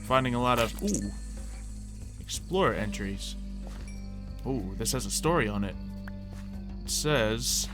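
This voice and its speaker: A man talks through a video game in a casual, mild voice at an average pitch. He thinks out loud haltingly, with game music clearly playing behind him. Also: expressive. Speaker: gamer playing a Minecraft roleplay series. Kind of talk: casual gameplay commentary.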